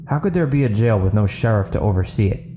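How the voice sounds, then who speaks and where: A man's voice: fairly low pitch, lively intonation, fast, music in the background, lightly acted. Man voicing an uneasy, hesitant gang officer. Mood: puzzled. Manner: hesitant, placating. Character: uneasy male gang officer seeking information. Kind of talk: audio book dialogue.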